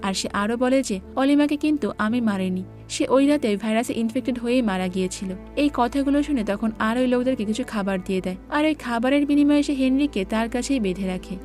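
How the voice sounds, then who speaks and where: A woman with a fairly high voice delivers scripted recap narration, moving through a drama's story and relaying dialogue as she goes. Music plays underneath her voice. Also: fairly quick, hardly any pauses, a little mellow. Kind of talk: scripted story recap narration, reading characters' lines in reported speech.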